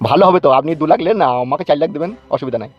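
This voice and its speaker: A man's voice: fairly high pitch, animated, racing, slightly rough, dull audio, faint music behind. A man playing a deferential, calculating village father bargaining over his wronged daughter in a cartoon comedy. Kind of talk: Bengali cartoon comedy dialogue.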